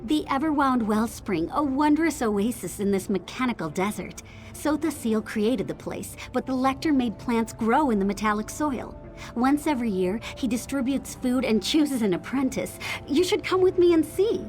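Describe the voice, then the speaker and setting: A woman's voice: on the high side, lively intonation, moderate pace, darkish tone, natural voice. A woman voicing an eager, anxious young apprentice hopeful in a fantasy game, speaking earnestly. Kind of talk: AI-voiced fantasy game dialogue. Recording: music behind the voice. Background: game music.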